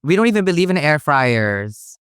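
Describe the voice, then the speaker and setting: A man's voice: on the high side, sing-song, moderate pace, a bit bright, clear, resonant tone, dry recording, clean studio sound. A young man from the Philippines, raised in Hawaii, chatting as a guest in a bubbly, playful way. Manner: bubbly and playful, says like a lot. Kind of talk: casual chat.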